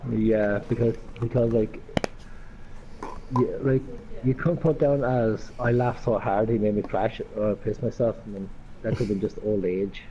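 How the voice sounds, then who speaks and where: A man's voice: lowish voice, fairly even intonation, a little slow, mellow. A man with an Irish accent bantering casually and teasingly while gaming. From Ireland. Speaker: co-host gamer. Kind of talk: casual joking chat during gameplay. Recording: muffled voice chat with faint noise.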